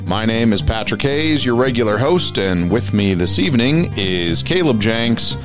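A man's voice: on the low side, animated, moderate pace, music in the background. A man hosting a podcast in casual chat, a rambling storyteller who chuckles often, his voice congested from allergies. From Western Colorado. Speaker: podcast host.

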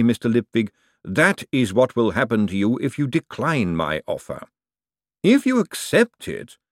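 A man voicing an urbane, calmly menacing ruler who speaks in a measured, formal, drily ironic way in an audiobook. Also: mid-range voice, no room sound, clean studio sound, pitched above the performer's own voice. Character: urbane, calmly menacing ruling lord, dry and precise.

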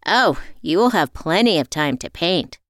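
A woman's voice: fairly low pitch, expressive, moderate pace, no room sound, clean studio sound, lightly acted. Woman playing chatty, teasing island librarian. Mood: matter-of-fact.

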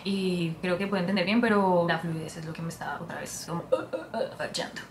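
A woman's voice: lowish voice, expressive, fairly quick, background noise. A woman, chatting casually to her viewers as a vlogger and drifting into English now and then. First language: Spanish. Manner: chatty, rambling, switches into English mid-sentence. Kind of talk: casual talk to camera.

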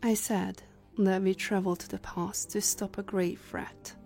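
Lucinda, a woman playing a mellow, commanding leader. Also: medium pitch, flat delivery, creaky, soft background music. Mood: grave, explaining. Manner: measured, authoritative, explanatory. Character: Lucinda, composed female leader of secret time travelers. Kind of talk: science fiction audio drama acting.

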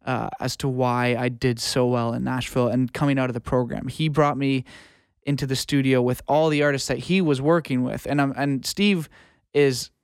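Man, smooth clear voice, dry close sound, informal and earnest, casual interview guest. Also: mid-range voice, fairly even intonation, normal speed, no room sound. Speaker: guest, music producer and audio engineer. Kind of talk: casual interview, giving career advice.

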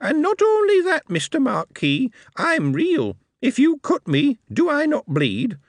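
A man voicing a cheeky, sarcastic male goblin in a shrill, cackling voice. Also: huge pitch swings, a little slow, no echo, exaggerated voice.

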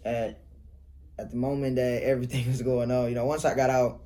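Laid-back young Detroit man, dark voice. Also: mid-range voice, little pitch movement, a little fast. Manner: laid-back, rambling, laughs often. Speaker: rapper guest. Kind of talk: casual interview talk.

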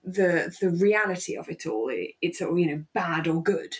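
A woman with a slightly low voice chats as a podcast co-host. She talks casually and reflectively, working out her thoughts aloud and often circling back over a phrase. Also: lively intonation, moderate pace.

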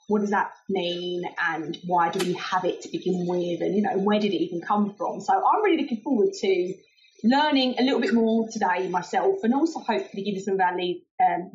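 A woman's voice: medium pitch, darkish tone. Woman co-host, medium voice, friendly and chatty, slightly muffled audio. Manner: friendly, chatty, lots of "like" and "you know". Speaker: podcast co-host. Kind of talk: casual podcast conversation.

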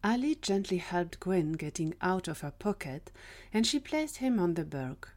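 A woman's voice: lowish voice, big pitch swings, unhurried, a little mellow. A woman reading scripted third-person narration for an audio drama.

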